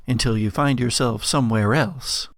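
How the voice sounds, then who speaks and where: A man narrating gothic horror as game master, with measured, dramatic, atmospheric storytelling. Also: medium pitch, creaky, a bit bright, dry recording, clean studio sound.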